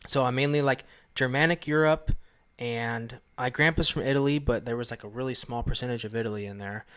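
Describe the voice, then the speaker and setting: A man with a medium-pitched voice co-hosts a podcast. He talks casually and loosely, in a laid-back, jokey way. It sounds like easy conversation between two hosts. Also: a little fast, a bit bright, clean tone. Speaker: podcast co-host. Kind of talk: casual chat. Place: indoor room shared with co-host.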